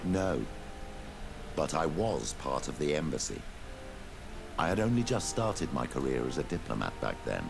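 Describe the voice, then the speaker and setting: A man's voice: very deep voice, very animated, creaky, exaggerated voice. An actor playing a courtly older priest and diplomat in a video game, speaking in a formal, fatherly way. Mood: fond, reminiscing. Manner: formal, measured, paternal. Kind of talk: video game dialogue acting. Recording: game dialogue under music.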